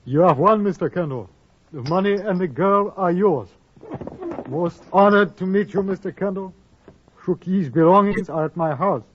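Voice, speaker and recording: An actor playing a formal, calculating Chinese gambler with a Chinese accent and clipped broken English in a 1950s radio western. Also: male voice, sits high, moderate pace. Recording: dull old 1950s radio recording.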